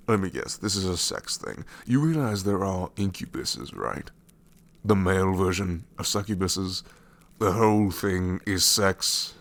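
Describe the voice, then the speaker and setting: A man's voice: low-pitched, gravelly, full character voice. A man voicing a sassy, exasperated ancient male shadow demon in an ASMR roleplay, sarcastic and incredulous.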